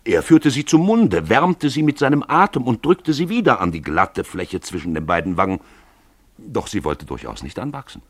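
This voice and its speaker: A man with a husky voice narrating a literary radio play from a script, as a storyteller. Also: on the low side, expressive, moderate pace, no echo.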